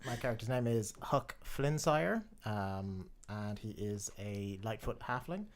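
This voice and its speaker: A man's voice: lowish voice, unhurried. A man, a player describing his character with a hesitant manner full of fillers. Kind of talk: casual chat.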